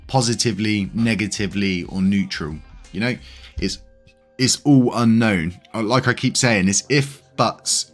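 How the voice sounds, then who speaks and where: Deep-voiced congested British man. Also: fairly bright tone. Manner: rambling, with many fillers. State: congested, slightly wheezy. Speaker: crypto channel host. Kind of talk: casual news commentary.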